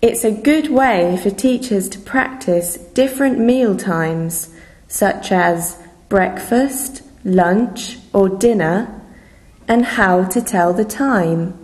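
Very slow woman reading rules. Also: medium pitch, darkish tone, poor audio. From England. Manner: very slow, deliberate, carefully spaced reading. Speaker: teacher reading game rules. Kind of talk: scripted listening-lesson narration.

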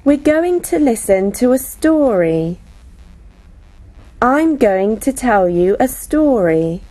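A woman slowly reading out classroom phrases for teachers, each phrase spoken separately. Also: a little high, sing-song, mellow. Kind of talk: slow, clearly spoken classroom English read aloud.

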